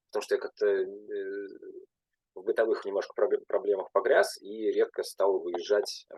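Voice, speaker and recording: A young man, a language teacher, speaking at a panel in a halting way with many filler sounds and restarts. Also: low voice, dark tone, slightly rough, no echo, poor audio. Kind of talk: panel talk.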